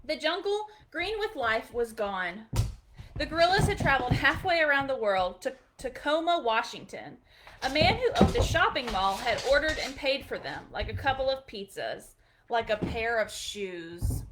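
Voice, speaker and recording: A woman's voice: high-pitched, sing-song, moderate pace, noisy background. A woman hosting a storytime, reading a picture book aloud expressively for children, with short chatty asides.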